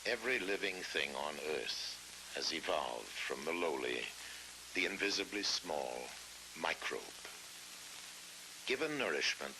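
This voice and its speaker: A middle-aged man narrating a scripted science documentary in a measured, dramatic way, with weighty pauses. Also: a bass voice, vocal fry. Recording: hissy old film soundtrack.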